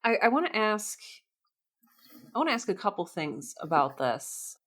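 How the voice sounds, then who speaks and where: A woman's voice: medium pitch, sing-song, moderate pace, fairly bright tone. A woman hosting a podcast, asking questions in a casual, conversational interview style.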